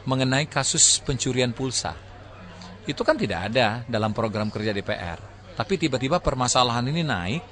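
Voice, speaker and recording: A man's voice: mid-range voice, fairly bright tone. A man answering in an interview as a politician, explanatory and repeating phrases for emphasis. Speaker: member of parliament.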